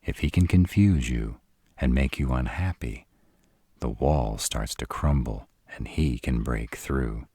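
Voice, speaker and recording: A man's voice: very deep voice, dark tone, husky, dry recording, clean studio sound, heavily acted. A man playing a protective, devoted but brash were-dragon lover in a roleplay audio drama, speaking huskily and bluntly, with tenderness for a partner. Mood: earnest, tender. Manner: slow, crude-tongued, tender with partner.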